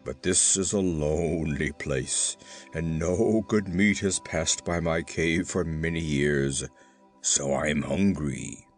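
A man voicing a huge, hungry, man-eating giant in a storybook, greedy and mock-sorrowful, with a slow, wheedling, rumbling delivery. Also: faint music behind, exaggerated voice.

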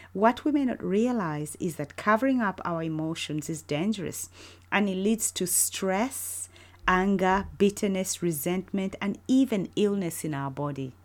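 A middle-aged woman from Uganda hosting a faith-based podcast, speaking in an earnest, encouraging way. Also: medium pitch. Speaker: podcast host.

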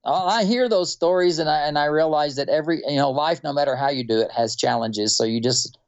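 A man from North Texas with a Texan accent, telling stories in a relaxed, unhurried interview with many fillers. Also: fairly high pitch, smooth, lo-fi sound. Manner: unhurried, rambling storyteller with fillers. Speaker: quilter and musician guest.

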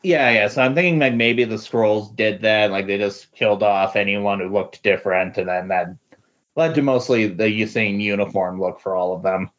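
Low-voiced man, halting casual chat. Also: a bit bright. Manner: halting, tentative, peppers speech with "like". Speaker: podcast co-host discussing comics. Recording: dull lo-fi voice recording.

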